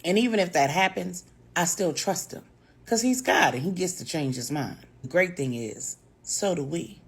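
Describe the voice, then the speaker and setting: A woman storyteller voicing a calm, devout, quietly steely woman who chides gently and speaks with assurance. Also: fairly low pitch, moderate pace, breathy edge. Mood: calm, assured.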